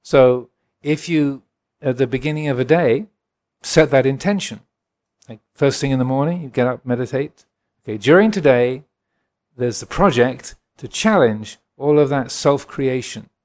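A man in his sixties from England, a Buddhist monk giving a gentle, reflective dharma talk and answering questions at a retreat. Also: medium pitch, normal speed. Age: 60s. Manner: gentle, reflective, chuckles often.

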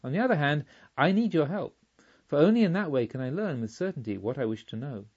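A man voicing a dignified, menacing yet remorseful robber chief in an audiobook, speaking in a measured, formal way. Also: a little high, lively intonation, a little mellow, husky, muffled recording. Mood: persuasive. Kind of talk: audiobook storytelling.

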